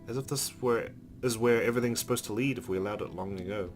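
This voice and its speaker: Low-voiced man narrating over guitar music. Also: fast talker. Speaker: let's play host. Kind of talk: reading visual novel narration aloud. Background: background music with guitar.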